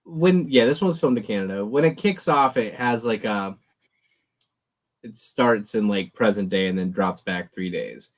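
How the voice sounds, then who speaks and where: A young man co-hosting a casual movie chat, animated and sarcastic. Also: on the low side, normal speed. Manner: animated, sarcastic, mocking voices. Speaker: podcast co-host.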